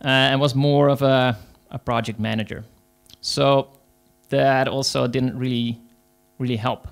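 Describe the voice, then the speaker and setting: Dutch-accented male conference speaker, rough-edged voice, halting delivery. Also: mid-range voice, level tone. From Netherlands. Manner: hesitant, many fillers, pauses. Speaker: conference speaker, agile coach. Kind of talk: conference talk.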